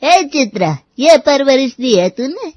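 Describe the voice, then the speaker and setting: A woman voicing a melodramatic, fault-finding old grandmother with a sarcastic manner in an animated story. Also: high voice, slow, dry recording, lo-fi sound, heavily acted. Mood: scornful, grumbling. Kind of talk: Hindi animated moral story dialogue.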